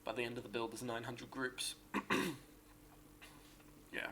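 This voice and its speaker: A man with a sore, hoarse voice talks through his game build in a loose, rambling way and often stops to correct himself. His gravelly rasp sits at a middle pitch. A faint hiss runs underneath. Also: little pitch movement, measured pace, bright, forceful tone. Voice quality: raspy, gravelly. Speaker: game streamer. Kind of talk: casual gameplay commentary.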